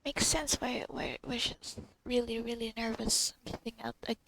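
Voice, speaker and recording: Creaky-voiced woman casually commenting on a game. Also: on the high side, steady tone, moderate pace, background noise. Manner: offhand, rambling, trails off. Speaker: gamer commenting on a playthrough. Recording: game music behind the commentary.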